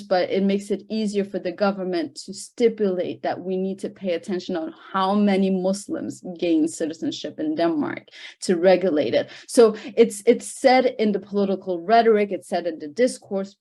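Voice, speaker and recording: Female panel researcher, lowish pitch, explanatory and semi-formal, halting in places with emphatic key words. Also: dark tone. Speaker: panel speaker, researcher presenting report findings. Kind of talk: semi-formal spoken presentation at an online discussion.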